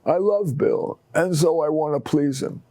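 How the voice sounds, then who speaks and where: A man's voice: a little high, measured pace, mellow, dry recording, lightly acted. A man voicing a tired old dog who tells his story in a tender, plain-spoken way. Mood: wistful, plain-spoken. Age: older. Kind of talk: first-person short story reading.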